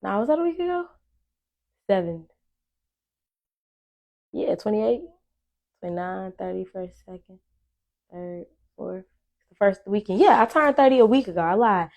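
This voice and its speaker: A woman's voice: medium pitch, animated, measured pace, long pauses, darkish tone. A woman in her thirties, speaking, hosts her own talk show and addresses viewers directly. She is blunt and candid, swearing without hesitation. She rambles through her thoughts, with plenty of "um"s and restarts. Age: 30s. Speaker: podcast host. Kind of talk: casual solo monologue to viewers.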